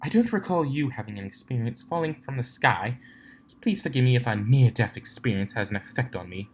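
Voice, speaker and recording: A man's voice: mid-range voice, animated, brisk, a little hiss or hum, close to an everyday voice. A man voicing a refined, anxious female unicorn in a prim, fussy manner for a story reading. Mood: indignant.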